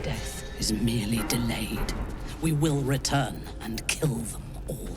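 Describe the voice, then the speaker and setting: An actress playing a proud, cold drow commander in a video game, speaking in a measured, formal, imperious way. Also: female voice, a bass voice. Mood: cold menace. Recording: background music.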